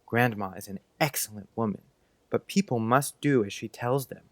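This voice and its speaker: A man's voice: mid-range voice, normal speed, no echo, lightly acted. A man playing an earnest, polite, precocious young schoolboy in an audiobook, speaking in careful old-fashioned phrases. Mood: solemn, proud. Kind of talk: audiobook character acting.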